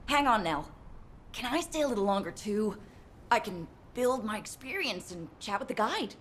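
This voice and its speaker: Eager female rookie, rumble behind. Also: sing-song, normal speed, a bit bright. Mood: eager, bright. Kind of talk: video game voice acting.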